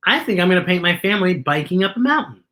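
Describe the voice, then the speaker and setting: Woman acting storybook schoolboy, little, friendly, eager, lively pitch. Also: fast. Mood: chatty.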